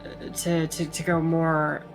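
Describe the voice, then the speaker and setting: A woman's voice: low voice, monotone, slow pace, over music, poor audio. A British woman with a shifting mix of English, Scottish and American accent, chatting casually and rambling with many restarts while streaming a game. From Britain.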